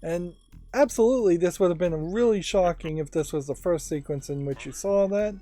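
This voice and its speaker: A man giving casual let's play commentary, thinking aloud analytically with pauses. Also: high voice, mellow. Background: faint game music.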